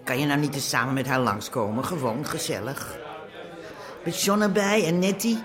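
A woman's voice: low voice, lively intonation, normal speed, a crowd in the background. An actress playing a motherly, nagging middle-aged café landlady in a radio drama. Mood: coaxing, concerned.

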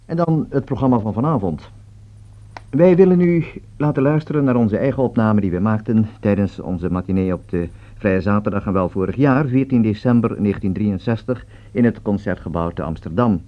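A thin, narrow-band vintage radio recording carries a Dutch-accented man of medium pitch. He announces formally and with careful articulation, in the manner of 1960s broadcasting. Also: lively intonation, fairly quick. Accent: Dutch, from the Netherlands.

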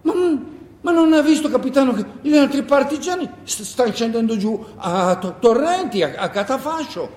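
An actor playing a frightened village miller who stammers and pleads anxiously in a theatrical stage style. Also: male voice, very high-pitched, lively intonation, moderate pace, darkish tone, voice pushed up. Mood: agitated pleading. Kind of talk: theatrical stage monologue acting. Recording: echoey hall with long reverb.

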